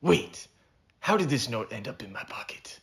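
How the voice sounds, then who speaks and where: A man's voice: mid-range voice, big pitch swings, moderate pace, vocal fry, a bit bright. A man playing a vengeful, scarred cowboy hunting an assassin in a short story, with melodramatic and emphatic delivery. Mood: impatient.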